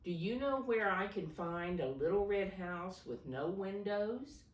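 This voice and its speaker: A woman voicing a curious, excitable little boy in a storybook, calling out eagerly. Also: medium pitch, measured pace, pitched above the performer's own voice, natural voice. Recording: roomy echo, distant mic.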